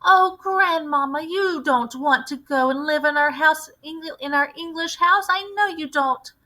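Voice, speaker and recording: A woman's voice: sits high, unhurried, bright, forceful tone, pitched above the performer's own voice. A woman voicing an anxious, curious young boy in a storybook read-aloud. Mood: pleading.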